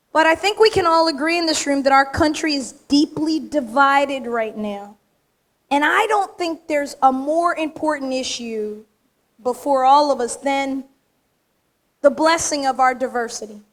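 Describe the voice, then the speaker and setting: Emphatic woman at an awards podium, high and a touch raspy, direct and punchy. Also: a little slow. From United States. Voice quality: slightly raspy. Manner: straight-talking, emphatic, punchy short phrases. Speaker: award recipient giving an acceptance speech. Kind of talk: acceptance speech to a live audience. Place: large event room with audience.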